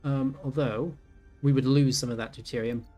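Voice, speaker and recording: A man's voice: medium pitch, fairly even intonation, moderate pace, mellow. A man in his thirties giving casual let's-play commentary, thinking aloud with many ums and self-corrections. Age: 30s. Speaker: gaming YouTuber. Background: faint game music.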